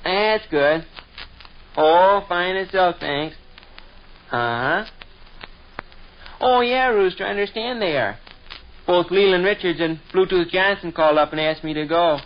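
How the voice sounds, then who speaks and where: An actor playing an earnest, patient American schoolboy nephew in a chummy 1940s radio comedy style. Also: male voice, high-pitched, unhurried, long pauses. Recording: dull vintage 1940s radio recording.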